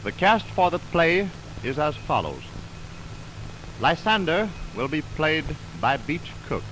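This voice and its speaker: An American man announcing a 1920s radio programme from a script, formal, measured and declamatory, on a scratchy old recording. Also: a little high, very animated, fairly bright tone. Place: radio studio.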